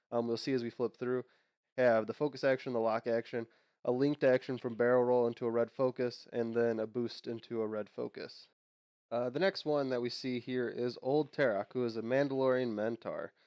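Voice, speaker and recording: A man's voice: medium pitch, a little mellow, clear, resonant tone, no room sound, clean studio sound. A young man hosting his own channel, sounding nasal from a cold, chatting casually and rambling with fillers and restarts. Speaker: host. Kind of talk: casual unboxing and lore talk.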